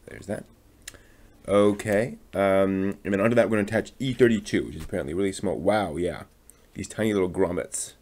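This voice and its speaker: A man casually talking through a hands-on hobby build, halting and muttering to himself as he works. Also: low voice, darkish tone. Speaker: hobby channel host building a model kit on stream.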